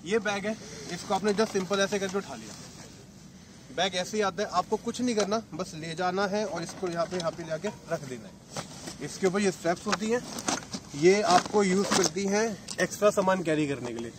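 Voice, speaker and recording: A man's voice: sits high, moderate pace, noisy background. A man in his thirties, a motorcycle vlogger, chatting casually as he explains gear step by step at an open lakeshore. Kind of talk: casual product demo.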